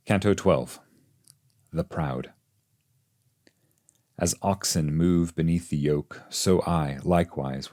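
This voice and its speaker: A man with a low-pitched voice narrates a literary audiobook of classic verse. His delivery is measured and solemn, and the recording is dry, with very little room sound. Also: warm tone, no echo. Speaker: translator and reader of a classic poem.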